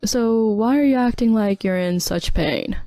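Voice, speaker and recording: A woman's voice: fairly high pitch, a little slow, warm tone, dry recording, faint music behind. A woman voicing a dismissive young husband who talks down to his pregnant wife. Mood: scornful. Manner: curt, condescending.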